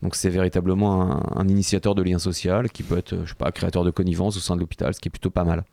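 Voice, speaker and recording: Man, radio panel chat, wry asides, dark voice, gravelly. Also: sits low, level tone, very fast, no echo. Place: radio studio.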